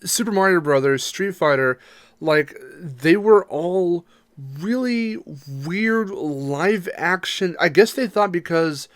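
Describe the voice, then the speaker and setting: A man's voice: sits high, lively intonation, slow, fairly bright tone, no echo, clean studio sound. A man co-hosting a podcast, chatting casually in an informal, enthusiastic way. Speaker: podcast co-host. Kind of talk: casual chat about games and movies.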